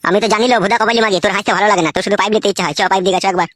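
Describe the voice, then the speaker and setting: A woman's voice: medium pitch, rapid-fire, very noisy, lightly acted. A woman playing a crude, swaggering husband in a comedy cartoon, with a coarse, bossy way of talking. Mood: gloating, lewd. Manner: coarse, brash, bossy, swearing. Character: crude, swaggering young husband with two wives.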